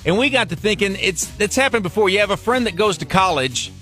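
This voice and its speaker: A man's voice: high-pitched, expressive, fast talker, bright, forceful tone, soft background music. A man from Missouri co-hosting a radio morning show, chatty and upbeat, now and then singing a phrase.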